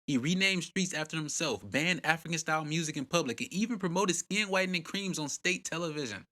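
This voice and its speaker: A man narrates a scripted history documentary with a bright, ringing tone. His delivery is punchy and dramatic, full of rhetorical questions and short emphatic lines. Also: fairly high pitch, a little fast. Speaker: host and narrator.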